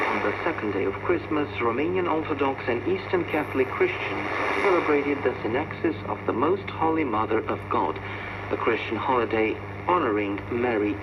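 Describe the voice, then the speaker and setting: A man reads a scripted international news bulletin as a radio newsreader, heard over shortwave. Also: medium pitch. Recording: very noisy, dull shortwave radio reception. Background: loud radio static and noise.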